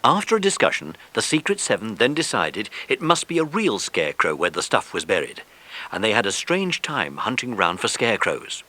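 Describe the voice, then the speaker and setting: Bright, animated male storyteller. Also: fairly low pitch, normal speed. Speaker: narrator. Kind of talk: scripted children's story narration.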